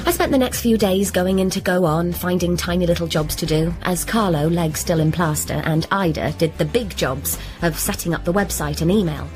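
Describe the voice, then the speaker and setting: An actress playing a brisk, dry-witted British woman who narrates and acts in a radio comedy drama. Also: lowish voice, lightly acted, rough recording. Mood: wry narration. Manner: deadpan, wordplay-loving, assertive. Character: brisk, dry-witted, self-appointed guardian of London, narrating. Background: music.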